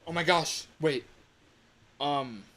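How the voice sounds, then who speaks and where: A man's voice: fairly high pitch, slow, pushed and bright. A man chatting casually on a livestream as he shows a game he is making, thinking aloud and repeating his phrases. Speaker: livestream host and game developer. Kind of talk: casual livestream chat while demonstrating a game in development. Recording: clear background noise with clicking.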